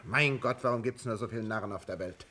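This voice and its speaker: An actor playing a blunt, excitable government man among scientists, with formal, emphatic radio drama diction. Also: on the low side, fast talker, breathy edge. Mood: urgent, alarmed. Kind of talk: 1950s German radio drama acting.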